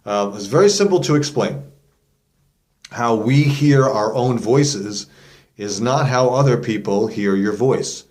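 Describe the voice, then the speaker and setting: A man with a medium-pitched voice teaches in the role of a vocal coach hosting an instructional video. His delivery is casual and conversational, explaining things plainly and demonstratively, one step at a time. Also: a little slow, a little mellow. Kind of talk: casual explanatory lesson to camera.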